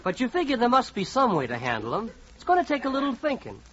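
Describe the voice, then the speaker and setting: A man with a high, very animated voice narrates a 1940s radio comedy. He is wry and conversational, addressing the listener directly. The old recording is dull and slightly noisy. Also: fast.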